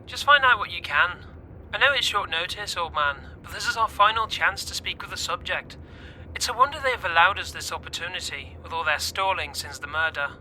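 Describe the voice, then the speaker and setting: A man voicing a brisk, chummy upper-class gentleman colleague with a persuasive manner, in an audio drama. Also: high-pitched, expressive, slight background noise, voice pushed up, heavily acted, poor audio. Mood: brisk, cajoling. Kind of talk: audio drama letter reading in character.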